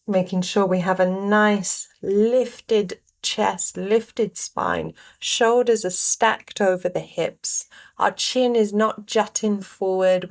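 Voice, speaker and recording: A woman guiding a meditation, giving gentle instructions in short phrases with pauses between them. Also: mid-range voice. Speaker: meditation guide. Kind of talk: guided meditation narration.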